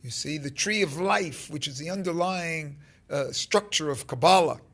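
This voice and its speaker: A man in his fifties giving an informal spiritual talk to his phone, halting and rambling, with emphatic stresses and a gravelly voice. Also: a little high, fairly bright tone. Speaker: spiritual teacher. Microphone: phone held close at arm's length.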